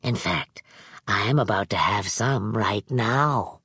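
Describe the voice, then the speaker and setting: A woman voicing a sinister, cold old man who hisses and speaks low. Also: slow pace, a bit bright, breathy edge, dry recording, studio quality, exaggerated voice. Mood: sly menacing. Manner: hissing, low whispers.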